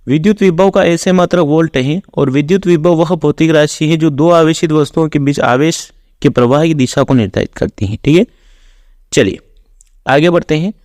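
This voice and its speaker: A man with a fairly high-pitched voice teaches for a competitive exam. He reads out questions and factual explanations in an orderly, instructional routine. The sound is very dry, with no room echo. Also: moderate pace, darkish tone. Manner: instructional, reading out facts in a set routine. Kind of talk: reading quiz questions with explanations.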